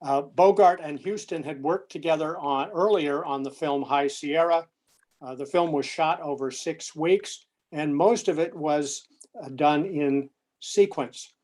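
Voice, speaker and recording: Higher-voiced man presenting a measured film lecture. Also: darkish tone. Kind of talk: prepared lecture, conversational.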